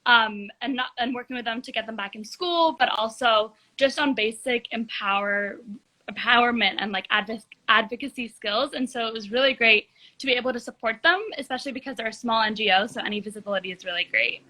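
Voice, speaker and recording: Bright, high-voiced teenage girl. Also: a little fast, poor audio. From Egypt. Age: teens. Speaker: guest, youth activist. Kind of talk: live video interview. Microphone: video call.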